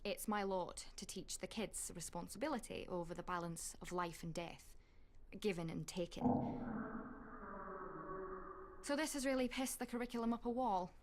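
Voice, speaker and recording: An actress as a witch doctor who teaches at a school in a video game. She speaks at a medium pitch, brisk and wry, with a colloquial, sardonic edge. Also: close to an everyday voice. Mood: wry exasperation. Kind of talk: video game cutscene acting.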